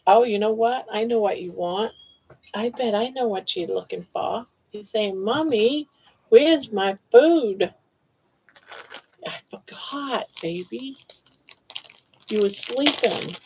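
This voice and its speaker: A woman's voice: a little high, takes it slowly, long pauses, husky, dull audio. A woman in her sixties hosting a casual live stream, chatting and joking and talking sweetly to her pets. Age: 60s. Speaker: livestream host.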